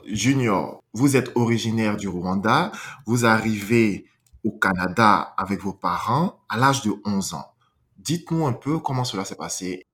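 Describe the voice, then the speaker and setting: A man's voice: fairly low pitch, measured pace, a bit bright. A man conducting an interview, asking formal and composed questions.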